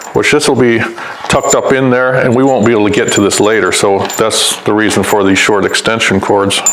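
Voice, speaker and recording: A man's voice: fairly low pitch, fairly bright tone, a little hiss or hum, rough recording. A man in his fifties with an American accent, explaining a DIY install step by step in a plain-spoken, conversational way. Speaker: host of a DIY vehicle install channel. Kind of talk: hands-on tutorial explanation, conversational.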